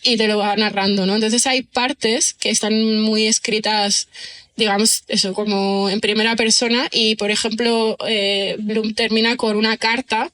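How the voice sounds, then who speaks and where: Woman, bit high voice, bright and tense, keeps saying digamos and no?. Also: level tone, measured pace. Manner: thoughtful, many fillers like "digamos" and "¿no?". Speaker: writer and screenwriter guest. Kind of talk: relaxed podcast conversation.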